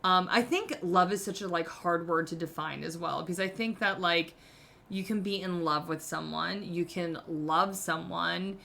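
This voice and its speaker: A woman's voice: on the low side, normal speed, creaky, pushed and bright, slight background noise. A woman in her thirties hosting a podcast, giving candid relationship advice in a casual, self-mocking way, full of "like". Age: 30s. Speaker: podcast host.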